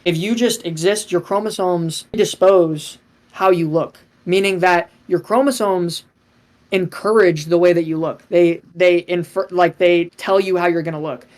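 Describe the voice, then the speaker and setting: A man's voice: high voice, fairly even intonation, normal speed, a little mellow. A young man arguing insistently in an online debate, often repeating himself. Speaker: debate guest. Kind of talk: heated debate.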